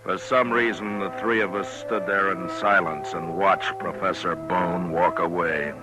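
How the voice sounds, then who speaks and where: An actor playing a calm, weary frontier marshal, narrating and speaking in a measured way in a 1950s radio western. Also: male voice, sits low, over loud music, rough recording. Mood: somber, reflective. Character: steady, weary frontier marshal telling his story. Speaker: lead and narrator.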